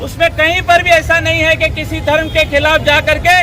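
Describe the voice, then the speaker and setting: बहुत ऊँची, एक-सी तान में भाषण देता आदमी. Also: male voice, extremely high pitch, little pitch movement, fairly quick, sharp tone. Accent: Indian. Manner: emphatic, repetitive oratory in short phrases. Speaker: protest speaker, political activist. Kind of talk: public protest speech. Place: outdoor street protest before a crowd. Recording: crowd and traffic noise behind.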